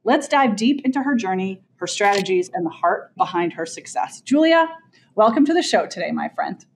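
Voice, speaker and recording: A woman's voice: medium pitch, lively intonation, moderate pace, slight background noise. A woman hosting a real estate podcast on a video call, reading an enthusiastic intro and chatting with her guest. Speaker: podcast host. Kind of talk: casual interview chat and scripted intro. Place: office with desks.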